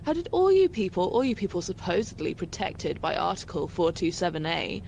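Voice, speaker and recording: A woman's voice: fairly low pitch, very animated, a little fast, creaky, darkish tone, slight background noise, poor audio. A woman playing a cynical, taunting prison-transport guard in an audio drama. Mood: mocking, taunting.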